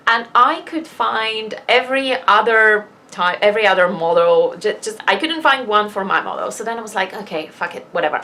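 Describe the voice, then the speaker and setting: A woman's voice: medium pitch, fairly bright tone. A woman vlogging in a casual, chatty rant, exasperated and sweary, leaning hard on key words. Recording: noticeable background noise.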